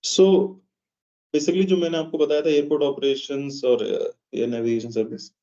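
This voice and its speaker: A man with an Indian accent explaining things in a measured way as an interview guest, pausing mid-phrase. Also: a little high, fairly even intonation, mellow. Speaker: interview guest, aviation professional. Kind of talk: explanatory interview answers in Hindi mixed with English. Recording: dull, lo-fi sound.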